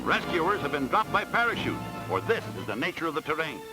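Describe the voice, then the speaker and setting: A man narrates a 1940s newsreel in a dramatic, formal announcer voice. His pitch sits high and swings widely. Loud orchestral music plays under him on an old film soundtrack. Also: big pitch swings, brisk, poor audio. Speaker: newsreel commentator.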